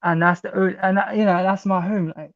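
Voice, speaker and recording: A man's voice: high-pitched, steady tone, fairly quick, gravelly. A man calling in to an online discussion, hesitant and full of restarts. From Britain. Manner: hesitant, many restarts and fillers. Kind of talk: casual chat. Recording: dull lo-fi online call audio.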